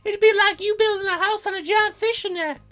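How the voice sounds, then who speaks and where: A man's voice: very high voice, fairly even intonation, brisk, pushed and bright, faint music behind, pitched above the performer's own voice. A man voicing a perky, cheeky teenage cloud fairy girl with a sassy, casual, boastful way of talking, for a video game. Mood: matter-of-fact.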